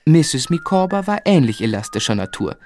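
An actor playing a grown man who narrates his own boyhood in a measured, reflective, literary way in a German radio drama. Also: a little high, sing-song, darkish tone, faint music behind. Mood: wry.

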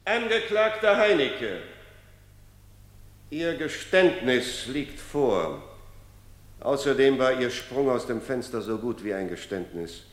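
An actor playing a stern judge who presses a defendant in a radio drama. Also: male voice, mid-range voice, big pitch swings, slow. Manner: stern, pressing, then shouting. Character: stern male Nazi-era judge interrogating. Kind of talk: 1950s radio drama acting. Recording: roomy hall reverb.

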